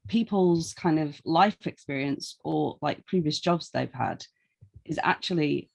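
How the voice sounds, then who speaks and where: Playful woman host, low voice. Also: unhurried, gravelly, a little mellow. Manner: playful, reads out listener questions. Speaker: podcast host. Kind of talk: casual podcast interview.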